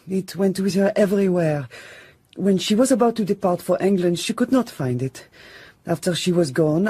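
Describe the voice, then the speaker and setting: A loyal, uneasy French lady's maid in a radio drama. Her voice is soft and mellow with a husky edge, in a dry studio sound. She speaks formally and deferentially, as if guarding something. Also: mid-range voice, no room sound. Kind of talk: audio drama dialogue.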